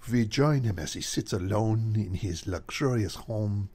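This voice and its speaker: A man narrating a radio mystery drama in an ominous, measured way. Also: on the low side, darkish tone, breathy edge.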